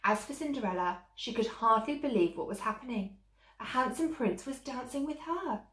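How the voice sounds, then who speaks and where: A woman's voice: on the high side, animated, moderate pace, fairly bright tone. A woman storyteller reading a children's tale expressively, like a teacher to young listeners. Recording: echoey room.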